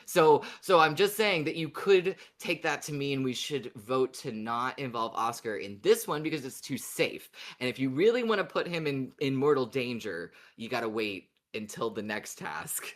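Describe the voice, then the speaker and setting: Very deep-voiced woman in casual podcast chat. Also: animated, moderate pace, hardly any pauses. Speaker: podcast co-host.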